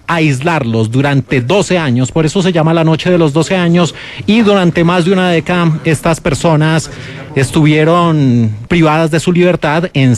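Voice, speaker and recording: A man with a Colombian accent presenting a film countdown on radio in an explanatory, emphatic way. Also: a little high. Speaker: radio film critic and host.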